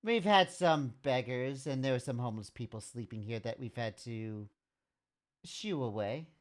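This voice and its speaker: A woman runs a tabletop game as game master, narrating scenes at a measured, sometimes drawn-out pace. Her voice is low-pitched but moves around expressively. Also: expressive.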